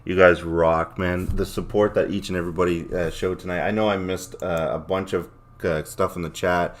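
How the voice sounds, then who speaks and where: Deep-voiced man rambling on a livestream. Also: fairly even intonation, moderate pace, slight background noise. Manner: rambling, blunt and profane. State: voice a little worn, losing it. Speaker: livestream host. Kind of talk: casual livestream sign-off chat, reading chat comments aloud.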